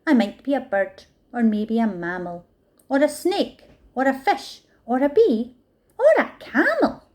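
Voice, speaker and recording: A tiny fluffy baby elephant who has no idea what it is, wailing its questions in a high, wobbly squeak, as a woman puts it on. The voice leaps and dips all over and takes its time. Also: very animated, a little slow, a little mellow. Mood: puzzled. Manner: high squeaky sing-song puzzlement. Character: small baby elephant covered in fluff, confused.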